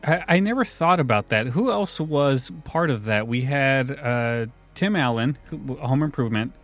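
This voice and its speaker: A man's voice: medium pitch, lively intonation, moderate pace, soft background music. A man hosting a podcast in a casual, conversational style. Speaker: podcast host.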